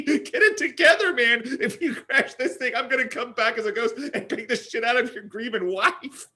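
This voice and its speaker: Man acting a bright, brash young tech executive. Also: extremely high pitch. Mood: ranting, worked up. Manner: overblown salesman patter, dramatic exclamations. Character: brash, pushy male Tinder executive, thirty-three, gleefully crass. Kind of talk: comedy table read.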